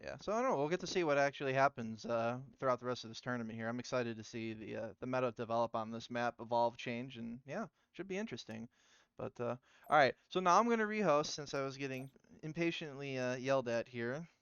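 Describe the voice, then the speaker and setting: A man casting a video game tournament in a relaxed, chatty way, with lots of fillers. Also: medium pitch, fast talker, clear, resonant tone, dry recording, dull audio. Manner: chatty, laid-back, filler-heavy. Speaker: tournament caster. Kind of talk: casual game commentary.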